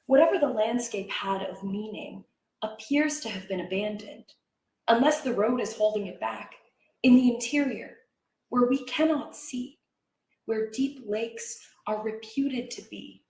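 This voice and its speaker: A woman reciting poetry as a dramatic reader, with measured, expressive and deliberate phrasing. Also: on the high side. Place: indoor library event room. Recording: echoey room, live reading.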